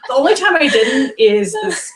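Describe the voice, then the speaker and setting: Animated woman, loud projecting voice. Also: high voice, moderate pace, crowd noise. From former record store worker. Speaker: podcast co-host. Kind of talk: casual movie review chat.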